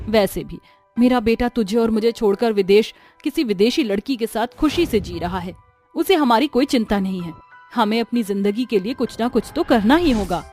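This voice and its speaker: A woman voicing a determined widowed mother-in-law in an animated moral story, speaking earnestly and sentimentally. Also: mid-range voice, natural voice.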